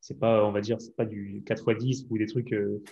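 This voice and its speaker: Chatty French podcast host, dull sound. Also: male voice, on the low side, level tone, moderate pace, dark tone, a little hiss or hum. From France. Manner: chatty, rambling questions with fillers. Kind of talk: casual podcast interview.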